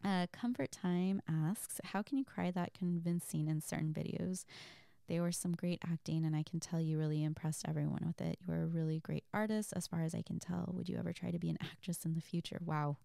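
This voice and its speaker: A woman chatting casually as a host, reading out and answering listener questions in a soft, giggly, rambling and hesitant way. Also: lowish voice, fairly even intonation, fairly quick, gravelly, dry recording, studio quality. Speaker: audio creator and host.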